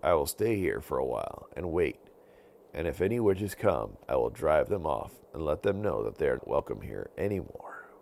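A brave, protective hero from a bedtime story, voiced by a man. He sounds confident and helpful, with firm declarations and big swings in pitch. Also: very animated, normal speed, gravelly, darkish tone, slight background noise, full character voice. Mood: reassuring.

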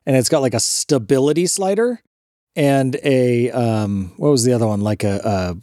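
A man with a mid-range voice explains things in casual podcast chat, laughing easily and swearing offhandedly. His voice sits at an ordinary pitch, recorded close and dry with no room echo. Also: normal speed, mellow. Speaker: podcast co-host.

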